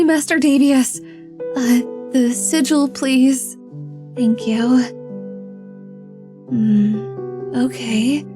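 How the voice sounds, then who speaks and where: A woman playing a sweet, innocent young fire genasi in a fantasy audio drama, hesitant and polite. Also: high voice. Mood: shy, unsure. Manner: hesitant, polite, soft-spoken.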